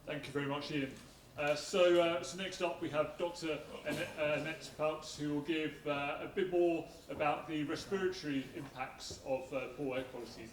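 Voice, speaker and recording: A man with a slightly high voice hosts a public event, introducing speakers informally and haltingly. The sound is roomy and somewhat distant from the mic. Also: fairly even intonation. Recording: echoey room, distant mic, faint noise.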